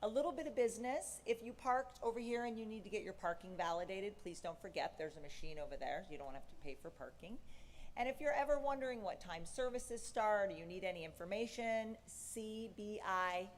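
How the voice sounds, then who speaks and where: A woman board member addressing a congregation at a service, heartfelt and informal with a few halting restarts. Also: mid-range voice. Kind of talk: warm welcome and poem reading at a service. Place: synagogue sanctuary, in person. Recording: long hall reverb, faint background noise.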